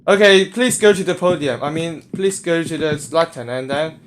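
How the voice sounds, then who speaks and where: A man's voice: fairly high pitch, slight background noise. Hong Kong-accented man hosting a live chat. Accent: Hong Kong English. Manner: halting, restarting, fussing with his stream setup. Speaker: host of a live voice forum.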